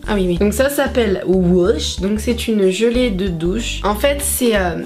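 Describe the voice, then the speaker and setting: A young woman talks casually to her viewers in a vlog. Her voice sits in a middle range, and she swears freely and drops in jokey English phrases. Music plays clearly in the background. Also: medium pitch, unhurried, a little mellow. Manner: chatty, swears a lot, playful mock English asides. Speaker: vlogger. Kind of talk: casual haul vlog chat.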